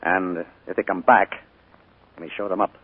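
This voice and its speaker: A sinister, soft-spoken fugitive. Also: male voice, low voice, steady tone, normal speed. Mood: sinister calm. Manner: quiet, smooth, with a menacing edge. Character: calm, sinister middle-aged man hiding from pursuers. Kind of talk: 1940s radio acting. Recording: tinny 1940s radio broadcast.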